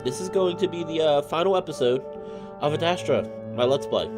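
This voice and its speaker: A man's voice: on the high side, a little fast, music in the background. A slightly sick man hosting a let's play stream, chatting in a rambling, apologetic way. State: a little sick.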